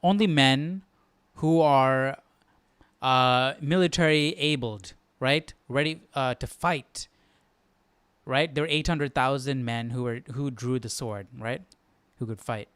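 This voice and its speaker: A man's voice: medium pitch, slow pace, fairly bright tone, clean tone, no echo. A man hosting a live Bible study, commenting casually and thinking aloud, often checking himself with a questioning tag. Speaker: host.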